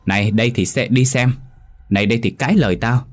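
A man's voice: on the low side, a little fast, natural voice. A man voicing a cruel, nagging stepmother who scolds and screeches. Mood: furious. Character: shrill cruel middle-aged stepmother.

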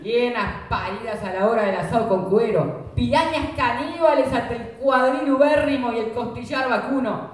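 A squeamish country companion who scolds and panics quickly, speaking, as a woman acts it out in a comic radio play. The voice sits somewhat high and sounds distant in a very echoey room. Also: natural voice. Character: squeamish, fussy rustic companion, easily frightened. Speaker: radio drama actor. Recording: very echoey room, voice far from mic.

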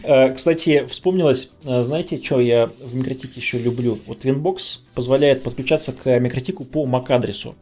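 A man's voice: mid-range voice, normal speed, hardly any pauses, a little mellow. A man in a casual tech discussion, talking informally like someone telling stories from work. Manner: informal, storytelling. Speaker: podcast guest.

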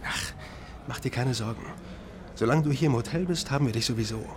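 A man's voice: mid-range voice, a little fast, lightly acted. Actor as wry hotel-desk man, noisy background. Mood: wry, reassuring. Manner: polite, wry, matter-of-fact. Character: wry male undercover officer posing as hotel receptionist. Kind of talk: naturalistic German crime radio drama acting. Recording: clear background noise.

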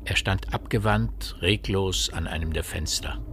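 A man narrating a dark village tale in a grave, literary style for a radio drama. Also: deep voice, measured pace, slight background noise. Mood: grave, measured.

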